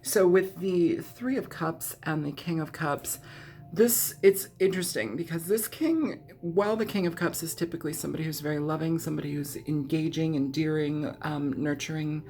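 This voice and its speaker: A woman reading tarot in a chatty, meandering way, hoarse and scratchy from a cold, often clearing her throat. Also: deep voice, moderate pace, warm tone. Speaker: tarot reader. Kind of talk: casual tarot reading monologue.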